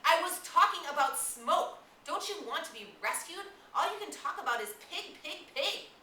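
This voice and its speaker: A practical, serious boy leader in a storybook, acted out by a woman, earnest and easily exasperated. The voice is lifted in pitch and sounds distant in a roomy, hissy recording. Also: animated, normal speed, pushed and bright, heavily acted. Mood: indignant. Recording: roomy, distant mic, clear hiss.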